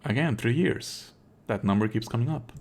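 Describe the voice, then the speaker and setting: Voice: medium pitch, lively intonation, normal speed, darkish tone, a little hiss or hum. A streamer giving wry, sweary, casual commentary on a game and reading its narration aloud in a live let's play.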